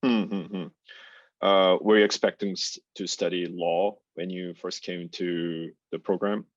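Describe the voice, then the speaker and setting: A man's voice: sits low, flat delivery, measured pace, dry recording. A man interviewing a student guest, hesitant with frequent "uh" fillers. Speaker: interviewer host. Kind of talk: hosted interview. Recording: dry, dull lo-fi sound.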